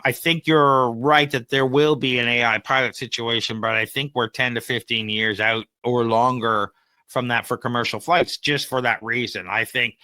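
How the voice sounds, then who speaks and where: A man talks as a podcast co-host in a casual, opinionated way. His voice is bright and forceful with a smooth, clean tone. He explains things conversationally with examples, and the sound is dry. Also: mid-range voice, fairly even intonation, moderate pace, dry recording.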